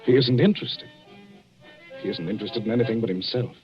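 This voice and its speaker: An actor playing an earnest village doctor in an old radio drama, polite, halting and confiding. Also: male voice, fairly low pitch, over music, lightly acted. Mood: awkward, earnest. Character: village doctor, awkwardly earnest secret admirer. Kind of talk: 1940s radio drama acting. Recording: muffled old radio recording.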